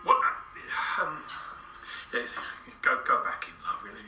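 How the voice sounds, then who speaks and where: A man's voice: medium pitch, lively intonation, measured pace, far from the mic, music in the background, close to an everyday voice. An actor playing a frail, evasive man in his eighties in a naturalistic radio drama. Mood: hesitant. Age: older. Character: frail, evasive 87-year-old village husband, pleading. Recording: roomy, off-mic, faint background ambience.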